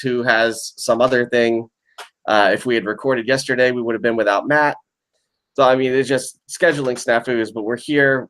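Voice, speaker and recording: A man hosting a podcast with a hoarse, worn voice from being ill. He talks in a chatty, informal way. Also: mid-range voice, fairly bright tone. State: hoarse, lost voice from illness. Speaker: podcast host. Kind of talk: casual podcast intro.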